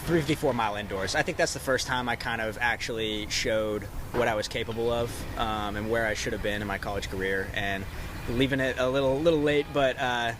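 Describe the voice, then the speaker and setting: A man's voice: medium pitch, a little fast, background noise. A young man who grew up in the Dallas suburbs, a college runner chatting casually in a press interview, with plenty of fillers and restarts. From Texas, Dallas suburbs. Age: young adult. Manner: relaxed, many fillers and restarts.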